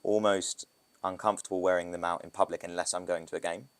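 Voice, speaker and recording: British man, very low voice, casual rambling podcast guest, photographer. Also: level tone, quick pace. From Britain. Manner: loose, rambling, with restarts and asides. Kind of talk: casual interview talk.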